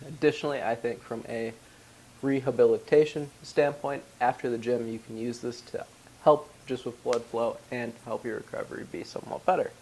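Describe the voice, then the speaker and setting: Stuffy-nosed young guy with a gravelly voice, chatty about his gym stuff, faint hiss behind. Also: medium pitch, fairly even intonation, normal speed, a little mellow, low-quality recording. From Wisconsin, USA. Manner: chatty, explains ingredient details. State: congested, slightly losing voice. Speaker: powerlifting vlogger. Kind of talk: casual product walkthrough.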